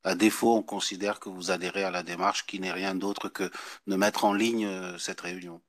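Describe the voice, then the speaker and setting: Guy chairing a meeting, lowish voice, formal and wordy, stop-start, dry close sound. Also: flat delivery, fairly bright tone, no room sound. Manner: formal, wordy, halting phrasing. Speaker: chairing official at a public meeting. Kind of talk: formal meeting address, semi-improvised. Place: indoor public meeting.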